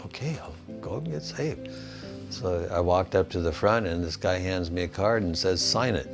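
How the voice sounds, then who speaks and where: A man's voice: low voice, moderate pace, creaky. A man in his sixties telling his story as a missionary in a documentary interview. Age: 60s. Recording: music behind the voice.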